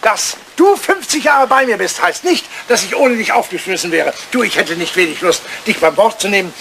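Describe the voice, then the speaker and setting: An irascible old English aristocrat in a radio drama. He sputters and repeats himself in a high-pitched voice that swings wildly up and down. The old recording is clearly noisy, with clicks. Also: expressive, normal speed, bright, forceful tone, exaggerated voice. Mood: furious. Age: older. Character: irascible, self-pitying aging English lord, jilted suitor. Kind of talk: radio play acting.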